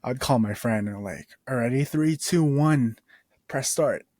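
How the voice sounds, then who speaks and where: A man's voice: mid-range voice, a little slow, a little mellow, no echo. A man in his twenties casually telling a personal story as a podcast host, rambling with restarts and laid-back slang. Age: 20s.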